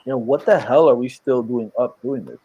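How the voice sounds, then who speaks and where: A man's voice: mid-range voice, dark tone, a little hiss or hum, low-quality recording. A man in his late thirties co-hosting a casual late-night sports chat, joking and teasing the others and often cutting in. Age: 30s. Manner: joking, teasing, quick to interrupt. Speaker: podcast co-host.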